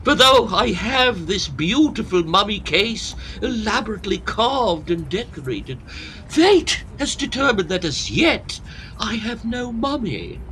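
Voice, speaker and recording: An actor playing a fussy, self-pitying hypochondriac old Victorian professor in a comic audio drama, theatrical and pompous. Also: male voice, sits high, big pitch swings, a little slow, sharp tone, a little hiss or hum, full character voice, poor audio. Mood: wistful.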